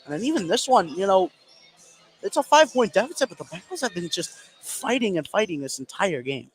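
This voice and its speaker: A man's voice: high voice, sing-song, brisk, soft background music, low-quality recording. An American man giving conversational color commentary courtside during a live basketball broadcast.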